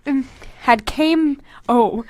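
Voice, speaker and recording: High-voiced young woman, giggly, sweary podcast host. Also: extremely slow, dry recording. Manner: animated, sweary, self-mocking, giggly. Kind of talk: casual comedic commentary while reading own old fanfiction aloud.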